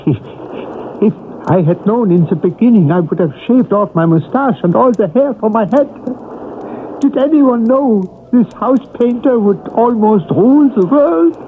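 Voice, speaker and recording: An actor playing a frightened, timid man who serves as a dictator's double, speaking in a halting, pleading way. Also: high voice, expressive. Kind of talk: 1970s radio drama acting. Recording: tinny hissy old radio broadcast.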